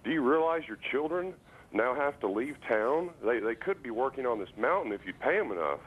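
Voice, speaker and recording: A man phoning a call-in show, speaking casually and haltingly, repeating words as he insistently makes his point. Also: medium pitch, big pitch swings, husky. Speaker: radio and TV phone-in caller. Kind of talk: casual opinion and anecdote on a call-in. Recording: voice over phone line to broadcast.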